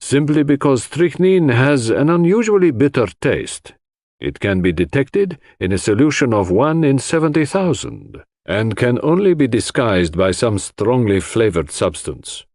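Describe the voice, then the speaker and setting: A man voicing an authoritative London toxicologist giving formal, measured evidence in an audiobook. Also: mid-range voice, animated, a little mellow, no echo, studio quality. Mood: measured, certain.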